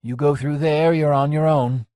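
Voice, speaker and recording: A man's voice: a little high, steady tone, moderate pace, dark tone, smooth, no room sound, studio quality, pitched above the performer's own voice, lightly acted. A man voicing a blunt, streetwise woman in an audiobook, with a curt, dismissive manner in a raised voice.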